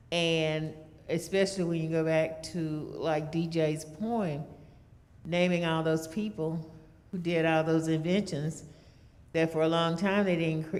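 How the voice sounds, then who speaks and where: A woman who used to teach, commenting informally from the audience in a measured, reflective manner. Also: low-pitched, darkish tone. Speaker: former teacher, audience member. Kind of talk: informal spoken comment at a meeting. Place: large hall at a church program. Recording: echoey hall.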